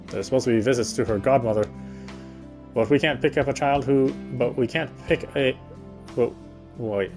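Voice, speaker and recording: Male game streamer reading story narration: medium pitch, occasional stumbles and rereads, soft music underneath. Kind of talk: character narration read aloud, storytelling. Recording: faint music behind the voice.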